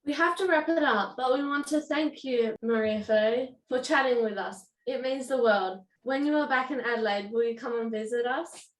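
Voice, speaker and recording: A young female student podcast host reads her questions politely and carefully. Her voice is high, and the school room around her rings with echo. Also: normal speed, hardly any pauses, distant mic. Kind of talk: scripted interview questions. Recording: echoey room.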